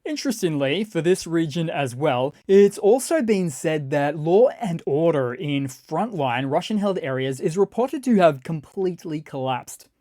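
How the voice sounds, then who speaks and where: Mellow, dry-sounding scripted news narrator. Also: mid-range voice, no echo. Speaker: news commentator and channel host.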